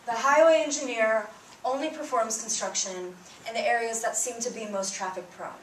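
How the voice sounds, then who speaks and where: A woman's voice: a little high, normal speed, a little hiss or hum. A young woman student, a native Bengali speaker, giving a prepared public talk in an earnest, emphatic way, lifting her voice at the start of each point. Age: young adult. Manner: earnest, emphatic, rising on openers. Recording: roomy sound, mic at a distance.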